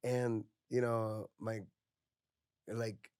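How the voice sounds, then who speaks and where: A young adult man from Puerto Rico, a counselor speaking as a podcast guest in candid conversation, reflective and soft-spoken, peppering his talk with "like" and "you know". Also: lowish voice, flat delivery, extremely slow, smooth, no echo, studio quality.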